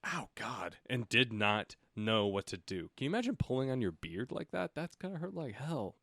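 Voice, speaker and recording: A man's voice: medium pitch, sing-song, normal speed, fairly bright tone, dry recording, studio quality. A man reading a storybook aloud as a comic co-host, breaking off for casual, irreverent remarks. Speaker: comic audiobook reader and co-host.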